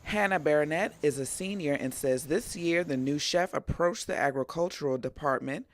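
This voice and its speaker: A woman's voice: sits low, normal speed. A woman working as a local television reporter, reading scripted news in a broadcast reporting style.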